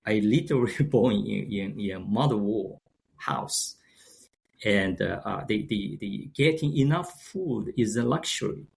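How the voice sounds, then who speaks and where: A man in his sixties with a Chinese accent, his first language Shanghainese, speaking as an interview guest. His fairly low voice has a gravelly edge. He talks haltingly, stopping and restarting as he reaches for words. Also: a little hiss or hum. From China. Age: 60s. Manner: halting, many restarts and fillers. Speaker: guest, company founder. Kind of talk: casual interview talk.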